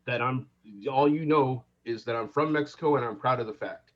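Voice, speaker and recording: A man's voice: medium pitch, brisk. Insistent man on dull lo-fi audio. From United States. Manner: insistent, repeats himself for emphasis. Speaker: podcast co-host. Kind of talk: casual debate.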